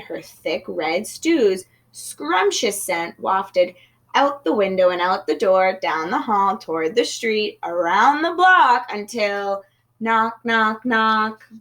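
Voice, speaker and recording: A woman reading a children's picture book aloud in an expressive storytelling manner. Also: medium pitch. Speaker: storybook reader.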